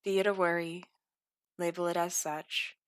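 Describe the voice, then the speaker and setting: A woman guiding a scripted meditation in a calm, soothing, deliberate manner. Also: fairly low pitch, clear, resonant tone, no room sound, clean studio sound. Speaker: meditation guide.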